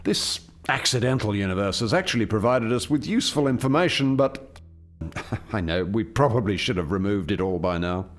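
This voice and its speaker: A man's voice: on the low side, expressive, normal speed, close to an everyday voice. An actor playing an eager, proud alien scientist who formally explains his experiment in a radio play. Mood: candid, animated. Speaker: lead scientist presenting a project. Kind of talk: radio play dialogue, expository.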